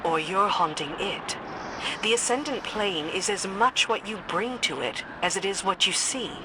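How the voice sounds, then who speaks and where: An actress playing a tough, dry-witted military commander in a video game, clipped and deadpan. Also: female voice, mid-range voice, moderate pace, noisy background, lightly acted. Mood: matter-of-fact. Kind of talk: video game character dialogue.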